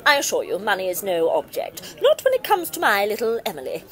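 A woman's voice: medium pitch, wildly swooping pitch, fairly quick. An actress playing a snobbish, indulgent wealthy mother, haughty and gushing, in an audio drama. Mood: imperious.

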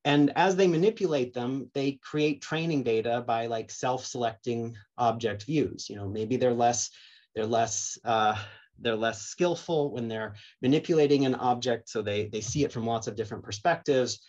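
A man's voice: medium pitch, moderate pace, darkish tone. A man giving a conference keynote over slides by video call, thinking aloud with many fillers and restarts. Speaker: keynote speaker. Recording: dull lo-fi video call audio.

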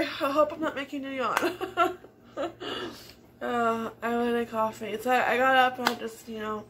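A woman's voice: fairly high pitch, gravelly, background noise. Chatty woman vlogging from her kitchen. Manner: chatty, rambling, laughs often. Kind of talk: casual morning chat to camera. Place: home kitchen, indoors.

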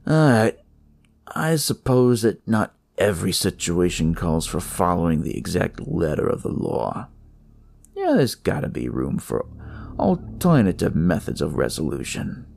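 A man's voice: deep voice, wildly swooping pitch, measured pace, creaky, a little mellow, exaggerated voice. A man voicing a hardboiled, corrupt police detective with a wry, menacing manner in a noir horror story. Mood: calculating.

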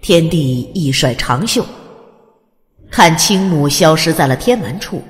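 Woman narrator, dramatic fantasy audiobook, very lively intonation, reverberant, scripted delivery. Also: sits low, measured pace. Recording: long reverb over music. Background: music.

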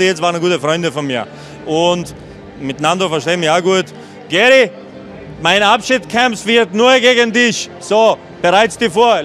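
Guy in his 30s, post-fight interview, rambly and blunt, kinda high voice, handheld mic. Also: fairly bright tone. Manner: rambling, blunt, restarts and mixes in English. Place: event venue backdrop, indoors.

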